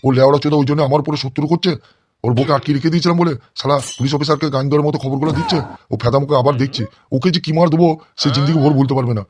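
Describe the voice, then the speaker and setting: Guy doing a crooked politician boss in a cartoon, highish voice, superfast, bullying n sweary. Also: fairly even intonation, rapid-fire, a little mellow, a little hiss or hum, close to an everyday voice. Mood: furious. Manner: blustering, scolding, constantly swearing. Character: crude, bullying, lecherous gangster politician boss. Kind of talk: comic cartoon dialogue in crude slang.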